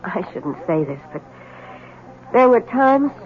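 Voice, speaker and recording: Brief: a coy, mysterious young woman, soft and guarded, dull old radio sound. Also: fairly high pitch, sing-song, slow. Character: demure, mysterious young woman hiding a dark secret. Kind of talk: 1970s radio drama acting. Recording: dull 1970s radio broadcast.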